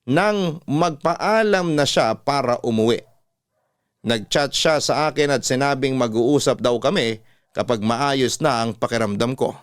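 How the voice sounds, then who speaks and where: A man's voice: medium pitch, a little slow, smooth, dry recording, clean studio sound. A man narrating a scripted personal story in the style of a classic radio drama program. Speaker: radio drama host reading a listener's letter.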